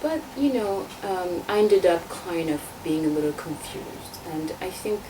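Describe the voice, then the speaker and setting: A woman's voice: lowish voice, animated, unhurried. A woman from Tunisia, born in France, answering interview questions about her assembly work, hesitant with many fillers and restarts. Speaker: constituent assembly member, guest. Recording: distant mic, noisy room ambience.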